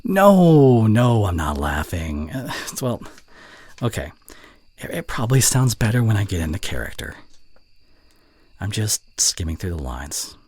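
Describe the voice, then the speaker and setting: A man playing a snarky but secretly fond young college voice actor in an intimate ASMR roleplay, teasing and grumbling with a breathy voice. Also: lowish voice, sing-song, measured pace, pauses often, a little mellow, no echo, exaggerated voice. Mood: bantering, critical.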